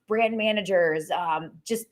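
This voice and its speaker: A woman's voice: fairly low pitch, takes it slowly. A woman co-hosting a casual boating broadcast, bubbly and chatty, with lots of "like.". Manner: bubbly, chatty, uses "like" and "y'all". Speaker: co-host. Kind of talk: casual promotional chat.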